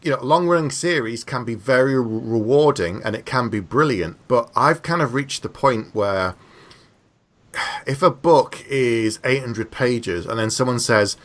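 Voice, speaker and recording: A man's voice: mid-range voice, a little slow. A man with a mid-range voice talks in a casual podcast conversation. He is opinionated and conversational, often voicing imagined exchanges to make a point.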